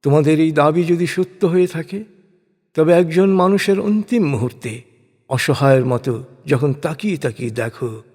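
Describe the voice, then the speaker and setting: A man gives a solemn, scripted reading of scripture in a measured, declamatory manner. His voice sits a little high for a man and has a husky grain. A long hall-like reverb trails his words. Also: a little mellow. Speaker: reciter of a scripture translation. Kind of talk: solemn scripted religious narration.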